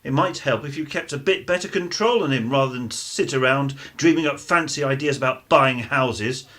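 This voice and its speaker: A man's voice: mid-range voice, normal speed, a bit bright, natural voice. A man acting in a radio drama as a bitter, argumentative working-class husband and father who distrusts everyone. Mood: angry. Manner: blunt, argumentative, mild swearing.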